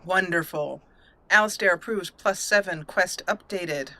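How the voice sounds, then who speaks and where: Bright-voiced woman narrating a game. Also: on the low side, unhurried. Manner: chatty, emotive, narrates in story-like asides. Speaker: let's play host and gamer. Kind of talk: casual roleplay game commentary.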